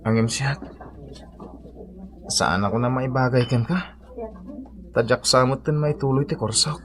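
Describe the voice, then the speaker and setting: A man's voice: medium pitch, a little slow, a bit bright, a little hiss or hum, lightly acted. Male actor: young Ilocano suitor, earnest, unsure of himself, radio drama. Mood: insecure.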